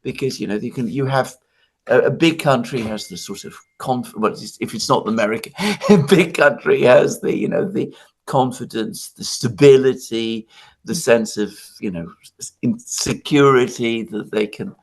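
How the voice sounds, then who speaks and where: A man from Greece giving his views in a casual discussion, animated and full of restarts, with laughs between points. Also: medium pitch, normal speed.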